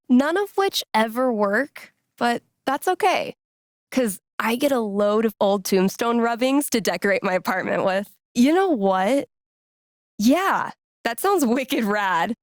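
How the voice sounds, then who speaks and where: A woman playing a cool, sarcastic, warm-hearted young indie musician in a video game, with an American accent and casual, slangy delivery. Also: a little high, expressive, a little slow, no room sound, clean studio sound. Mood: playful, imaginative. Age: young adult. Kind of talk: naturalistic video game voice acting.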